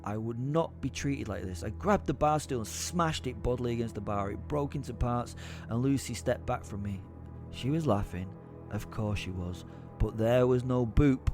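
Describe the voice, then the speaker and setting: A man's voice: medium pitch, moderate pace, darkish tone, soft background music, lightly acted. An actor playing a violent, short-tempered male werewolf, narrating with theatrical, gleeful menace in an audio drama. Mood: angry, exultant.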